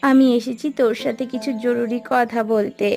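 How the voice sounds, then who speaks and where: A woman's voice: fairly high pitch, steady tone, moderate pace, gravelly, over music. A woman voicing a practical, meddling village aunt in a cartoon. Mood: advising. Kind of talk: cartoon voice acting.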